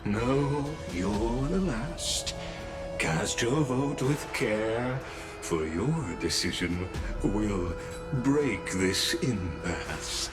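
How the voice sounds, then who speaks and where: An actor playing a solemn, stately official who presides over a vote with deliberate, formal pronouncements in a game. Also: male voice, fairly low pitch, lively intonation, over music.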